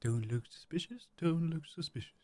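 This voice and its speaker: A man's voice: a little high, a little fast, dark tone, no echo. A man doing casual, chatty live game commentary, playful and sometimes reading dialogue aloud. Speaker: game livestreamer.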